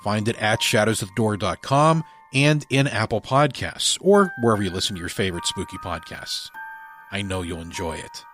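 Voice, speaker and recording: A man's voice: fairly low pitch, animated, normal speed, a bit bright, soft background music. A man hosting a podcast, reading a scripted introduction and announcements. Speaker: podcast host.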